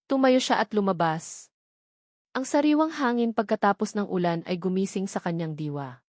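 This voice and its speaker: Female narrator, mid-range voice, scripted drama storytelling, deliberate phrasing, dry studio-like sound. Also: mid-range voice, lively intonation, darkish tone, no room sound. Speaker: audiobook narrator.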